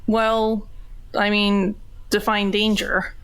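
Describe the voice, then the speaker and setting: A woman's voice: medium pitch, level tone, slow, a bit bright, smooth. A woman playing a tabletop role-playing game, improvising casual dialogue in a wry, slightly hesitant way. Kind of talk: casual in-character improvised chat.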